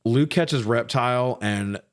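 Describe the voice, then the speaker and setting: A man co-hosting a casual movie review, talking in a slangy, sweary, animated way with comic exasperation. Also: mid-range voice, slow pace. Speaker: podcast co-host reviewing movies. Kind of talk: casual profane comedic movie recap chat.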